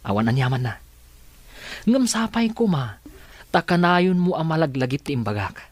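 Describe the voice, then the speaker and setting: A man's voice: fairly high pitch, very animated, moderate pace, dry recording. An actor playing a concerned, persistent young male neighbour in a radio drama, with an earnest, insistent delivery. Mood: earnest warning.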